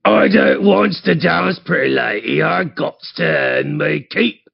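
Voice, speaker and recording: A man voicing a drunken hired thug in a tabletop game, gravelly and slurred with broken grammar. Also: fairly high pitch, expressive, unhurried, dull audio, pitched above the performer's own voice, full character voice. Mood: drunken, reluctant. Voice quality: gravelly, husky. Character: drunken male thug with a hired-killer contract.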